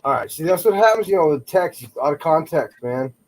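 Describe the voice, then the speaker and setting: A man's voice: a little high, animated, fairly quick. A man chatting casually on a live stream, telling laid-back stories and swearing casually. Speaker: stream co-host.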